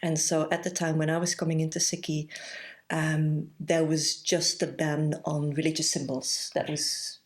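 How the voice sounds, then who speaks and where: A woman in her forties with a Belgian accent talks as a podcast guest in a low voice. She speaks thoughtfully and pauses often to gather her words. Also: level tone. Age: 40s. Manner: reflective, thoughtful, pausing often with "um". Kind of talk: casual interview conversation.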